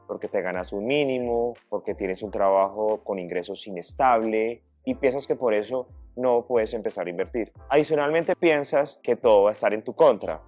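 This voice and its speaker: Man in his 30s, low mellow voice, earnest coaching tone, soft music underneath. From Colombia. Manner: earnest, coaching, addresses viewer directly. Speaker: financial advisor. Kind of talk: direct-to-camera advice talk.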